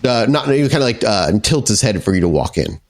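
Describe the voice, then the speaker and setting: Animated male game master narrating. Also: fairly low pitch, brisk, soft background music. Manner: conversational, descriptive, profane. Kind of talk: improvised narration of a tabletop horror game.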